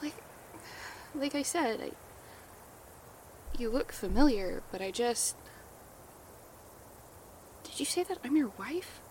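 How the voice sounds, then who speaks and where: An actress playing a wounded wife who has lost her memory, hesitant and halting and often hushed, in a horror audio drama. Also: on the high side, animated, slight background noise. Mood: confused. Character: wounded amnesiac wife, wary and urgent. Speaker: character.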